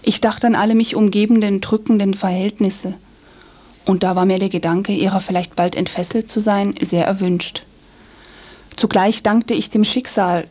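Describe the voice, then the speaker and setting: Woman acting a young 19th-century poetess, mellow voice, mid pitch, grave, measured, melancholy letter reading. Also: natural voice. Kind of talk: literary letter reading in a radio feature.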